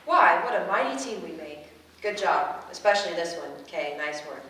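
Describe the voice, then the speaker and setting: A haughty, reserved fighter played by a woman speaks in formal, measured phrases in a stage drama. The voice is fairly low. It is picked up from far off in a very echoey room. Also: animated, fairly bright tone, a little hiss or hum. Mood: grudgingly approving. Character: haughty, reserved fighter grudgingly praising teammates. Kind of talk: staged reading of a play. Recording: very echoey room, distant mic.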